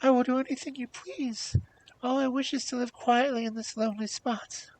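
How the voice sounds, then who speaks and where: A woman voicing a young fairy-tale princess, gentle and sorrowful, with a polite, pleading, meek manner. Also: a little high, fairly even intonation, moderate pace, voice pushed up, close to an everyday voice, low-quality recording. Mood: miserable, submissive. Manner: plaintive, polite, submissive. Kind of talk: storybook character voice.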